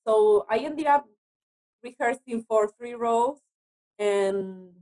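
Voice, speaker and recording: A woman's voice: on the high side, slow pace, darkish tone, low-quality recording. A woman from Argentina, a native Spanish speaker with an Argentinian accent, talking conversationally as an interview guest about her work as an actress.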